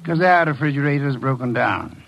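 An actor playing an imperious, brilliant older private detective, gruffly dismissive and precise in his diction, in 1950s radio drama style. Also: mid-range voice, lively intonation, a bit bright, muffled recording. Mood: commanding, assured. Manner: pompous, precise, deliberate diction.

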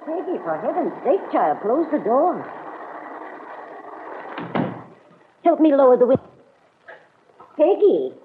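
An American actress playing a kindly, concerned middle-aged aunt in a classic radio serial, speaking in a soothing, genteel, reflective way. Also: female voice, high voice, sing-song, normal speed. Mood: urgent scolding. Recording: noisy, narrow-band old radio recording.

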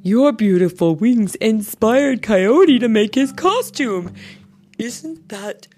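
A woman voicing a kind, helpful male bear in a storybook, earnest and drawn-out. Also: fairly high pitch, animated, mellow, faint music behind. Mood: warm, sincere.

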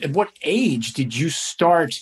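A man's voice: fairly high pitch, animated, slow, slightly rough. A man hosting a podcast, interviewing a guest with curious follow-up questions. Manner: inquisitive, asks follow-up questions. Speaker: podcast host.

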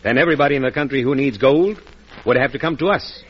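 An actor playing a calculating, persuasive gold speculator with formal speech in an old-time radio drama. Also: male voice, medium pitch, fast talker, breathy edge, close to an everyday voice. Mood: persuasive, sly. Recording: dull old radio broadcast.

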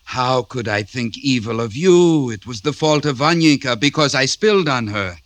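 A man's voice: medium pitch, lively intonation, normal speed, a bit bright, no echo, natural voice. An actor playing a poor, envious Russian villager prying into his friend's fortune in a radio drama, probing and insistent. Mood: agreeable. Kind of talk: classic radio drama acting.

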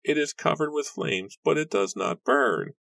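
A man puts on a frail village woman for a horror storybook. Her cry is raised high above the narrator's usual voice, frightened and awestruck. The sound is close and dry. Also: big pitch swings, a little slow, creaky, a bit bright, dry recording, exaggerated voice. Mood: fearful awe. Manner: crying out in fearful awe. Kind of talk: dramatic audiobook character reading.

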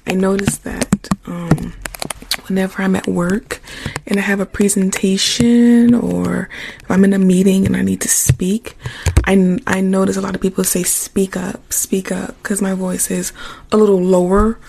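A young woman with a naturally quiet, soft speaking voice talks casually to her livestream viewers, reading out and answering their comments. Her voice carries a light gravelly fry at mid pitch. She sits right at a taped microphone, with a faint rustling noise underneath. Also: steady tone, measured pace. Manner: chatty, answers viewer comments, trails into whispers. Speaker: ASMR livestream host. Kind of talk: casual live-stream chat with viewers. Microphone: close mic with tape on it.

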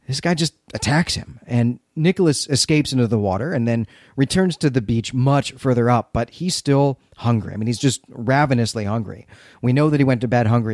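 A man's voice: mid-range voice, a little mellow, no room sound, studio quality. A man co-hosting a podcast, recapping and discussing a story in a conversational way with wry, dryly humorous asides. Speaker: podcast co-host.

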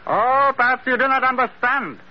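An actor playing a small, polite, calculating villain who speaks in formal, stilted phrases in an old-time radio adventure. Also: male voice, very high-pitched, normal speed, a little hiss or hum. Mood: measured, explaining. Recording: muffled old radio recording.

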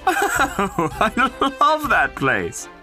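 Cheeky tavern-loving heckler, bright, swooping pitch, sardonic banter, fantasy audio drama. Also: male voice, huge pitch swings, extremely slow, soft background music, heavily acted. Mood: mocking, teasing.

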